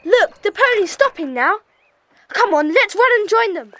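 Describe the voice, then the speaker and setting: An actress playing a casual, excitable schoolchild in a children's audio drama. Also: female voice, very high-pitched, normal speed. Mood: excited. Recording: faintly hissy old cassette.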